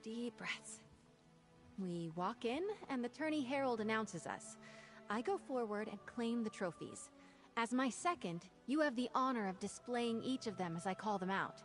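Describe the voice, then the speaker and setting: A woman's voice: on the high side, expressive, moderate pace, soft background music, lightly acted. An actress voicing a nervous, earnest young female knight aspirant in a fantasy video game.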